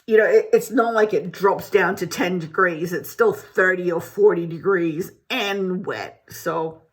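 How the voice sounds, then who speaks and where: Husky-voiced chatty woman vlogger. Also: lowish voice, unhurried. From Malaysia. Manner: rambling, chatty, with many restarts. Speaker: beauty vlogger. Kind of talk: casual chat to camera. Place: home, indoors.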